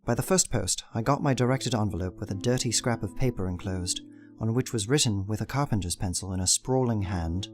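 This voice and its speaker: An actor playing an earnest, determined young Victorian gentleman, narrating a journal in a formal, measured way in an audio drama. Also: on the low side, faint music behind, lightly acted.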